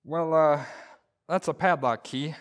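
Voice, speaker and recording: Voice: mid-range voice, measured pace, close to an everyday voice. An actor playing a stubborn, folksy, blustery husband in an old-time radio comedy. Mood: matter-of-fact. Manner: folksy, hesitant, indignant.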